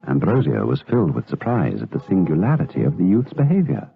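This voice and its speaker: A grave storyteller in a gothic radio drama. His low voice gives solemn, literary narration in measured phrases. The recording sounds narrow and dated. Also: huge pitch swings, gravelly, slight background noise, heavily acted.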